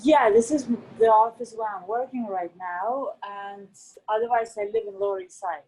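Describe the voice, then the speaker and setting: A woman in her thirties from Lithuania, chatting casually on a call from an office, animated and full of "like". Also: fairly high pitch, moderate pace, breathy edge, low-quality recording. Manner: animated, laughing often, lots of "like". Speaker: artist in a collaboration. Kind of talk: casual video-call chat. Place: office in Manhattan.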